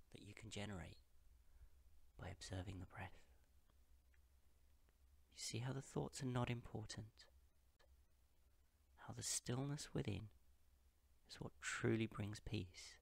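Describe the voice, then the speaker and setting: Low, gravelly man guiding meditation. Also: dry recording. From India. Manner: slow, calm, hushed instruction in short pauses-separated phrases. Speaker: yoga teacher guiding meditation. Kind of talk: guided meditation.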